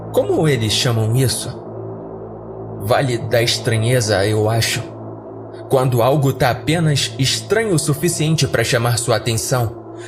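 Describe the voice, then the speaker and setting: A man with a Brazilian accent narrating an audio story in a colloquial, conversational storytelling way. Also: medium pitch. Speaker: horror story podcast narrator. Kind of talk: audiodrama narration in first person.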